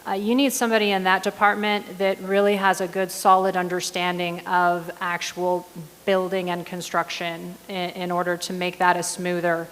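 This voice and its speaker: A woman running for county supervisor, answering in a debate in a firm, measured way with frequent ums. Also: fairly low pitch, level tone, fairly bright tone. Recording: long hall reverb.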